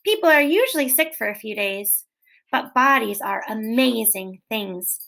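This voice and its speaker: Woman reading aloud to children, bit high. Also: measured pace. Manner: gentle, clear, expressive reading with questions. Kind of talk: read-aloud storytelling for children.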